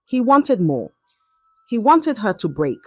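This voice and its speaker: A woman storyteller giving a dramatic scripted narration of a folktale in short, measured phrases. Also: a little high, sing-song, darkish tone, no echo, clean studio sound, soft background music.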